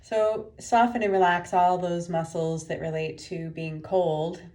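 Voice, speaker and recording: A woman leading a guided meditation as a teacher, giving gentle, unhurried instructions with pauses. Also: fairly low pitch, fairly even intonation.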